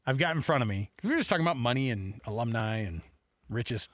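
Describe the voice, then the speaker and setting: A man's voice: mid-range voice, expressive, fast, fairly bright tone, dry recording. An American man hosting sports talk radio with casual banter, speaking into a close studio microphone.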